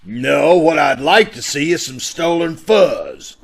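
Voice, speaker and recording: A man playing a blustery, suspicious police inspector in an old-time radio drama, with a forceful, accusing manner. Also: on the high side, expressive, slow pace, gravelly, full character voice. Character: blustery, suspicious male police inspector hunting fur thieves.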